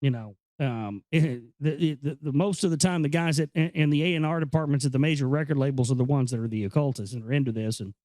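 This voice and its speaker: A man hosting an informal read-aloud, explaining and commenting in a halting, conversational way. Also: mid-range voice, a little mellow, no room sound. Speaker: host reading a book aloud with commentary. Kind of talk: informal reading with off-the-cuff commentary.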